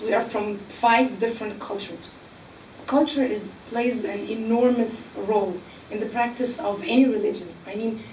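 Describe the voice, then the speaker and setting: A woman from Egypt speaking on a panel, explaining earnestly with filler pauses. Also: a little high, a little mellow, distant mic, slight background noise. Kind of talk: panel discussion. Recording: echoey room, mic from afar.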